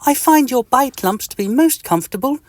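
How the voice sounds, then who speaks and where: A man voicing a tiny, fussy, polite male itch creature in a squeaky, genteel storybook voice. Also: lively intonation, a little fast, no echo. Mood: chirpy polite. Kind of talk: storybook character voice.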